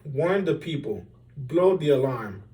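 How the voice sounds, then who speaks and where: A man's voice: on the high side, lively intonation, measured pace, mellow, recorded from a distance, low-quality recording. A man voicing an earnest, worried young errand boy in a storybook, urgent and calling out.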